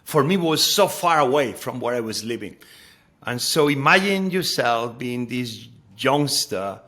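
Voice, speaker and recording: Spanish-accented man, bright and halting. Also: mid-range voice, lively intonation. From Spain. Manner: halting, repeats words while searching for phrasing. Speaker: podcast host. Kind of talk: conversational interview.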